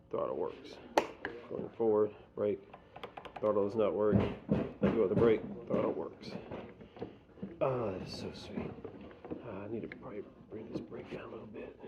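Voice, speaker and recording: A man's voice: mid-range voice, noisy background. A middle-aged man narrating a home repair step by step, casual and joking as he thinks aloud while working in his garage. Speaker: DIY video host.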